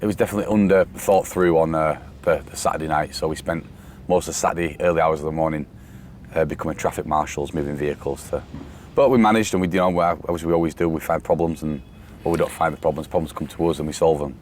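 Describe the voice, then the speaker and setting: A man from the UK chatting casually on a podcast, rambling with fillers and restarts, his voice worn from shouting. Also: extremely low pitch, steady tone, fast. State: voice going from shouting. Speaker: podcast host, security company boss. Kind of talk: casual chat. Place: outdoors on a festival site during breakdown. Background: faint site noise and vehicles.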